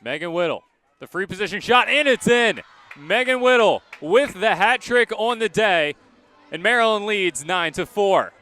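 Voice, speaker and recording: A male radio sports announcer with a slightly high, very clean voice calls a game live from outdoors. His delivery comes in crisp, short phrases that follow the action, with a bright, forceful edge. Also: expressive, normal speed. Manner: crisp present-tense calling in short phrases. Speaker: radio play-by-play announcer for college lacrosse. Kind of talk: live sports play-by-play commentary.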